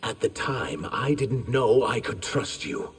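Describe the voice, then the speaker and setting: A man's voice: mid-range voice, animated, slow pace, husky, exaggerated voice. A man playing a grave, loyal servant of the crown in a fantasy video game, speaking formally and with deference. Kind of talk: video game dramatic acting. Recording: long reverb, prominent music behind.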